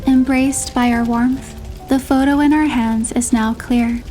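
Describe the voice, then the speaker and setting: A woman narrating a romantic first-person story in a measured, expressive storytelling manner. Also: fairly high pitch, faint music behind. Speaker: narrator and heroine voice.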